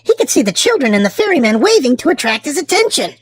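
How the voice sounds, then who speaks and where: Woman reading a storybook with very animated pitch. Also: dry recording. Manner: theatrical, drawn-out emphasis for small children. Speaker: children's storybook reader and channel host. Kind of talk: expressive read-aloud narration for young children.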